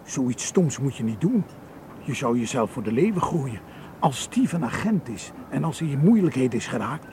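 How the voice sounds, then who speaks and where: A sober, protective man who speaks in a measured, admonishing way, as in a radio drama. His pitch is average for a man, with faint noise behind him. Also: big pitch swings, dark tone. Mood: worried, warning. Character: cautious, protective male colleague, sober and worried. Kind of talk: Dutch radio drama acting.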